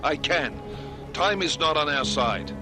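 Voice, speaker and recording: An actor playing a brisk, businesslike special forces commander in a video game, giving terse, authoritative orders. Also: male voice, on the high side, expressive, over music. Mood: urgent. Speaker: mission commander. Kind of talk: video game cutscene acting.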